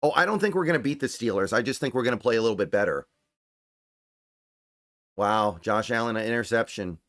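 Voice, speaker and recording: A man hosting a casual sports livestream, thinking aloud and repeating points for emphasis as he answers viewer chat, his voice starting to go a little hoarse. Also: on the low side, expressive, a little fast, fairly bright tone, no room sound, studio quality. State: voice getting strained, starting to go hoarse.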